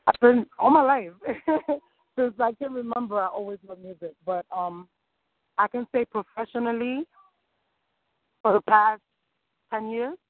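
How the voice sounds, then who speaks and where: A woman's voice: fairly high pitch, a little slow, pauses often, dry recording. A woman from New City, answering interview questions in an upbeat, eager way and laughing easily. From New York City. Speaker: singer and rapper guest. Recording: dry, tinny phone-like sound.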